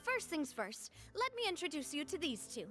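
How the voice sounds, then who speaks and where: A woman's voice: sits high, sing-song, moderate pace, fairly bright tone, soft background music. A young woman voicing a friendly, assured gang leader in a video game, speaking earnestly.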